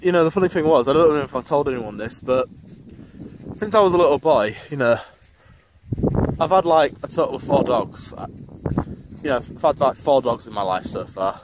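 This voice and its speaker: A man's voice: medium pitch, poor audio. A man, rambling and correcting himself while vlogging on a walk. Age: 20s. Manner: rambling, many restarts and self-corrections. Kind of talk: rambling casual vlog. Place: outdoors on a windy grassy embankment. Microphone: phone held at arm's length. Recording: phone audio with loud wind noise.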